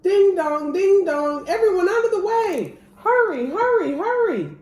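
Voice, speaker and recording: A woman voicing excited, alarmed firemen in an urgent, exclaiming manner for a children's storybook. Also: very high-pitched, unhurried, pitched above the performer's own voice, exaggerated voice. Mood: urgent shouting. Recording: roomy echo, clear background noise.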